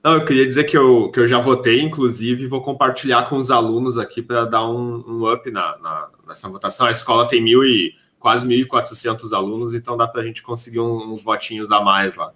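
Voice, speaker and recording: A man hosts in a bright, forceful voice of medium pitch. His delivery is informal and conversational. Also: steady tone, a little fast, few pauses. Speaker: podcast host and school staff member. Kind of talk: informal hosting chat.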